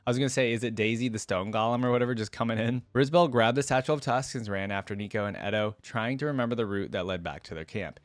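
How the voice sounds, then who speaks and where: A man's voice: fairly low pitch, fast talker, hardly any pauses, dry recording. A man reading a story aloud and commenting on it in a casual, slangy way as a reaction host. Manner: informal, slangy asides. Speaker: host of a game lore reaction video.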